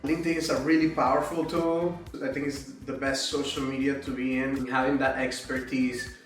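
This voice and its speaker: A man's voice: mid-range voice, level tone, normal speed. A man from Miami giving a casual, reflective testimonial about a freelance writing course, often adding "right?" and "you know". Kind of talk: testimonial interview. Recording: echoey room, distant mic, faint music.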